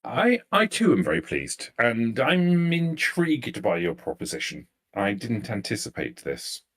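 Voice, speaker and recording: A man voicing a poised, coolly menacing female vampire negotiator in a tabletop roleplay, with formal, measured diction. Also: medium pitch, wildly swooping pitch, fairly bright tone, pitched above the performer's own voice, full character voice. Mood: cool, polite.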